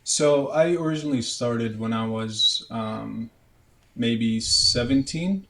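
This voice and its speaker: A young man from Canada answering interview questions in a halting way, with many fillers and restarts. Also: medium pitch, a bit bright. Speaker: guest, medical student.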